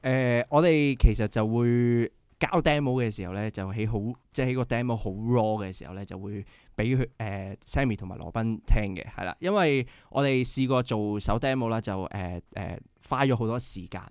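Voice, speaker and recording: A man's voice: medium pitch, normal speed, hardly any pauses, a little mellow, clean tone, dry recording. A young man with a Hong Kong Cantonese accent, a band member guest on a radio show, explaining earnestly with many filler sounds. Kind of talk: casual radio interview. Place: radio studio.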